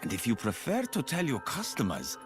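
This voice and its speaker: Casting: shifty smuggler, sly and evasive, hugely animated intonation, game cutscene with clear music bed. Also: male voice, medium pitch, brisk. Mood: conspiratorial. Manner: sly, conspiratorial. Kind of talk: video game character dialogue.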